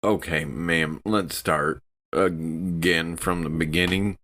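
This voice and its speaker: A man's voice: deep voice, steady tone, takes it slowly, a bit bright, slight background noise. A man playing a courteous, confused spaceship crewman in a tabletop game, hesitant and stammering. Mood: hesitant, questioning. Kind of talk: tabletop role-play acting.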